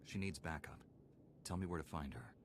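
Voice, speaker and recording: A stern Jedi knight in a video game, with a very deep voice and a firm, clipped delivery. He speaks with calm authority over a faint hiss. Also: moderate pace.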